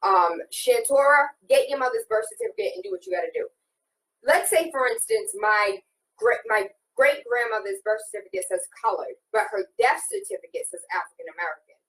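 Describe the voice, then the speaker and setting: A woman from Trinidad hosting a talk show interview, cutting in with animated, rapid-fire questions. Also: a little high, far from the mic, a little hiss or hum, rough recording. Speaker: host.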